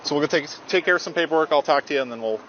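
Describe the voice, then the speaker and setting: A police officer speaking calmly and patiently through an arrest, reading forms word for word, recorded on his body camera. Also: on the high side, very fast. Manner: calm, patient, reads forms verbatim. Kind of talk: procedural arrest talk. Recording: muffled bodycam audio with loud noise.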